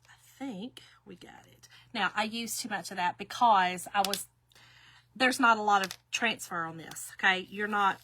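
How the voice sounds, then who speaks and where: A woman in her early fifties walks viewers through a craft project in a loose, talkative way. Her voice has a bright, forward edge, and she keeps checking in with the audience as y'all. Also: medium pitch, moderate pace, slight background noise. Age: 50s. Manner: chatty, folksy, addresses viewers as y'all. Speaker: craft demonstrator. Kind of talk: casual craft tutorial.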